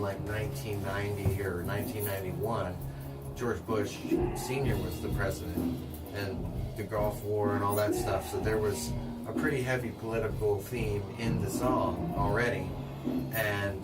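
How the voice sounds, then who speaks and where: A man's voice: on the low side, steady tone, moderate pace. A man from a band talking casually in an interview, easygoing and rambling with lots of fillers. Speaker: band musician. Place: back room of a bar. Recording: distant mic, loud music behind.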